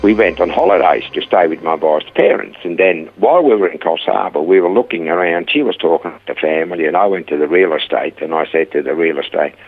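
An older man with a Swiss accent telling his life story in a relaxed chat, acting out the voices in his stories. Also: low-pitched, quick pace, hardly any pauses, husky, phone-quality sound. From Switzerland. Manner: animated storyteller acting out quoted dialogue. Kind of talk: casual storytelling interview.

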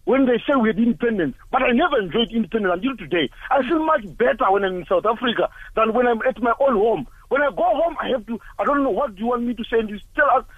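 A man's voice: extremely high pitch, animated, fast talker, few pauses, no room sound. Impassioned man on a tinny phone line. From Zimbabwe. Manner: impassioned, angry, repetitive rhetorical questions. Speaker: caller. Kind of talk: emotional call-in comments.

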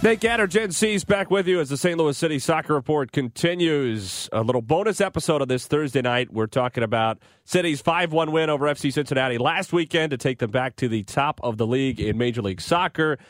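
A man's voice: a little high, a little fast, hardly any pauses, no room sound, soft background music. A man hosting a live sports talk radio show, introducing segments and putting questions to guests.

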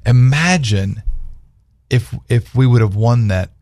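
A man's voice: medium pitch, animated, slow pace, darkish tone, no echo. A man speaking as a guest on a radio talk panel, earnestly telling stories and posing rhetorical questions in conversational religious discussion.

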